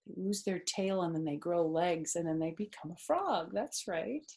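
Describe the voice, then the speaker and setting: A middle-aged woman doing a read-aloud storytime for children, explaining things simply in a deliberate way with chatty asides, recorded in a living room. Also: on the low side, animated, darkish tone. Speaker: storyteller reading picture books to children. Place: small furnished living room, indoors.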